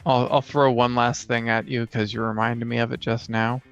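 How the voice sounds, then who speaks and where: Gravelly, even-toned man on a dull mic. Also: mid-range voice, normal speed. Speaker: co-host. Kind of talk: casual podcast chat about tabletop gaming. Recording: dull recording with music behind.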